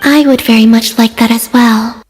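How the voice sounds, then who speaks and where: A woman voicing a gentle, wistful, mystical young woman in a game, speaking in a measured, formal way. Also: fairly high pitch, steady tone, slight background noise, lightly acted. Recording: reverberant hall sound, music behind.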